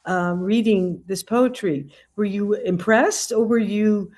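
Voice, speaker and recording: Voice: medium pitch, slow pace, a little mellow. An interviewer asking questions in a conversation and backing up the guest with murmurs of agreement. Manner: encouraging, frequent backchannel murmurs.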